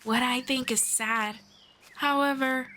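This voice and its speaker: A woman playing a friendly, knowledgeable elf in a scripted roleplay, speaking to the listener in a formal, measured, gently explanatory way. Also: high-pitched, steady tone, slight background noise, exaggerated voice. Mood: calm, wistful. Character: friendly, knowledgeable female elf guiding a human. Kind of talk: scripted roleplay audio, one-sided conversation with listener.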